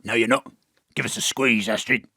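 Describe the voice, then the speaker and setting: An actor playing a cheeky, blunt Cockney rugby ball that is a Christmas ghost, in a comic audio drama. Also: male voice, mid-range voice, steady tone, normal speed, vocal fry, pushed and bright, no echo, studio quality. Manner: blunt, chummy, streetwise.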